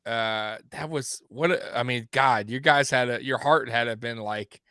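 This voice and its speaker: A man's voice: mid-range voice, unhurried, pushed and bright, clear, resonant tone, no room sound, clean studio sound. A man in his forties from Miami hosting a casual sports talk show, rambling with many restarts. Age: 40s. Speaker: podcast host.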